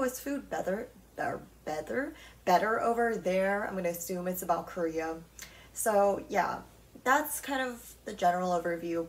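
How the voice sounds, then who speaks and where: A woman's voice: lowish voice, recorded from a distance. A young woman hosting her own podcast in casual solo chat, talking loosely with lots of "like" and "um". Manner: chatty, frequent "like" and "um" fillers. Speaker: podcast host.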